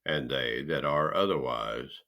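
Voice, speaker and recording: A man reading scripture aloud in a solemn style, with emphatic, deliberate phrasing and pauses. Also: a bass voice, sharp tone. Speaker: scripture reader.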